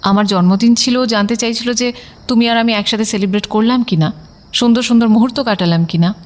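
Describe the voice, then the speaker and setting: A woman's voice: a little high, fairly quick, natural voice. A woman playing a young professional, a bantering, defensive and devoted girlfriend in an audio story. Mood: affectionate, probing. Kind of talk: audio story dialogue in casual Bengali.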